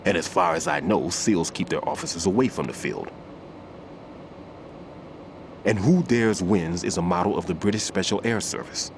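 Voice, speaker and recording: A man playing a methodical, somber veteran bomb disposal expert in a video game cutscene, explaining precisely and gravely. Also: sits low, brisk, noisy background. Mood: wary, suspicious. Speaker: demolition expert giving instructions.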